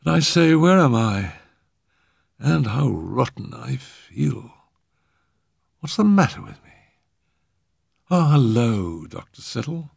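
A bewildered young English gentleman, put on by a male narrator, pitched up from his deep voice. He sounds boyish, well-bred and slightly weak, a storybook character with lively inflection. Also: measured pace, vocal fry, slightly rough, dry recording, clean studio sound, heavily acted.

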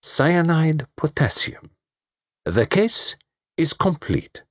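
A man voicing a fussy, commanding detective, pompous and precise, in a slightly husky voice. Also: a little high, sing-song, takes it slowly, dry recording, studio quality, voice pushed up, full character voice. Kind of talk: audiobook reading.

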